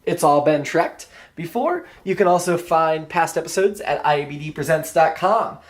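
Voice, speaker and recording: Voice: mid-range voice, animated, moderate pace. A podcast host giving an introduction and a plug for programming in a scripted announcing style.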